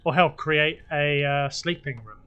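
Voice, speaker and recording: A man giving relaxed, casual gameplay commentary, talking to himself as he builds, his voice a little croaky. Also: a little high, unhurried, lo-fi sound. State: slightly croaky voice. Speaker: gaming video host.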